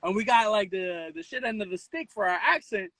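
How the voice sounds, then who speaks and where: A man speaks in a high, sharp voice, recorded close and dry. He is an interview guest telling stories casually, with slang and strong opinions. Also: fairly quick, no room sound. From the Bronx, New York. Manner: animated storytelling, slangy, switches into Spanish. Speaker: interview guest, content creator.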